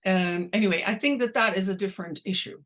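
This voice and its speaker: A woman whose first language is Dutch, speaking deliberately and a little slowly as an invited academic answering questions on a video call. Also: mid-range voice, a bit bright. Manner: deliberate, slightly slowed and exaggerated. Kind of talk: online academic talk, Q&A.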